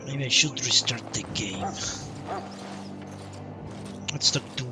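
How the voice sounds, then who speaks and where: Male streamer, casual commentary, unhurried and halting, distant mic, loud music behind. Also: medium pitch. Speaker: game streamer. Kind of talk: casual live gameplay commentary. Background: game music.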